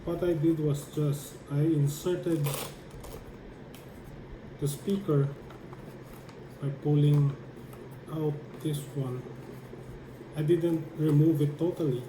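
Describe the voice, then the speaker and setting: A man with a slightly high voice talks slowly through a practical tutorial. He explains step by step and haltingly, as if busy with his hands, in an echoey room. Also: fairly even intonation. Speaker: tutorial presenter. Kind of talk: hands-on how-to demonstration. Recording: echoey room with clear background noise.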